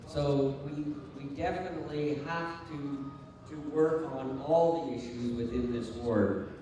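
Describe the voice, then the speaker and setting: Male council candidate, slow and halting, mellow timbre, distant mic in a long-reverb hall. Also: medium pitch. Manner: halting, hesitant. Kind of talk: campaign closing speech at a public debate. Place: large hall, public debate. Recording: very echoey hall, distant microphone.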